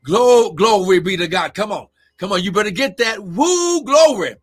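A male preacher delivering a fervent sermon, shouting often and calling out to members of his congregation. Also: high-pitched, animated, moderate pace. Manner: shouting, exclamatory, calls out congregation members by name. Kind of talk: Pentecostal-style sermon.

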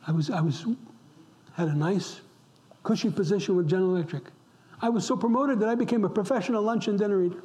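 High-pitched man, pastor, fervent sermon, emphatic repetition, occasional whispers, church. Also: fast talker, mellow. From upstate New York. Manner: impassioned, repeats words for emphasis, drops to a whisper at times.

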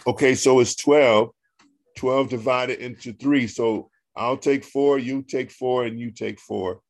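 A man with a deep voice guides a scripture reading as pastor over a video call. He talks slowly and deliberately, coaxing his listeners along. The sound is dry and close. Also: steady tone, dry recording. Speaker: pastor leading a Bible study. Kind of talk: online Bible study, reading scripture aloud.

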